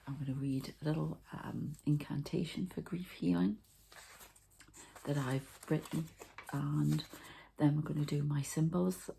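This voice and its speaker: A woman hosting a whispered ASMR healing session, speaking in a soft, breathy, hesitant and meandering way. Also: sits low, slight background noise, rough recording. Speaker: host, spiritual healer.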